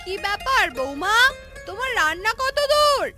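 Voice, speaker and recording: A woman voicing a sharp-tongued, bossy village mother-in-law who fusses and scolds in a cartoon fairy tale. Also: very high voice, big pitch swings, moderate pace, over loud music. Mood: scolding, bossy.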